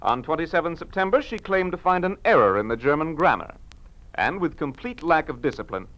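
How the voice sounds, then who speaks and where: An actor playing an indignant, pompous party committee member in an old-time radio drama, declaiming emphatically. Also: male voice, on the high side, very animated, brisk, fairly bright tone, poor audio.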